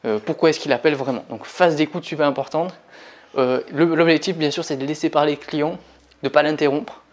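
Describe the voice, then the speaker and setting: A man teaching in an informal, conversational way, explaining step by step and stressing key points. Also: a little high. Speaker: teacher, presenter of business-course revision videos.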